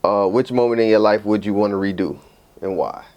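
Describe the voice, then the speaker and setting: A man's voice: lowish voice, brisk, darkish tone, muffled recording. A man hosting a podcast interview, hyping his guest in casual, slang-heavy talk. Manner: laid-back slang-heavy hype talk. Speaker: podcast host.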